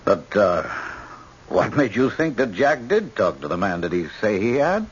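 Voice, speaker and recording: Husky-voiced American prison warden. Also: male voice, lowish voice, very animated. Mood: measured, firm. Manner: measured, courteous, explanatory. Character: obliging prison warden, a man of firm authority. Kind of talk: 1970s radio drama acting. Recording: dull, band-limited old radio broadcast.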